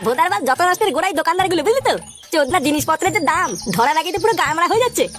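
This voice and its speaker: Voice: very high voice, expressive, very fast, fairly bright tone, soft background music. A performer voicing a brash, foul-mouthed young Bengali troublemaker in comic cartoon dialogue, coarse, slangy and combative. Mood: griping.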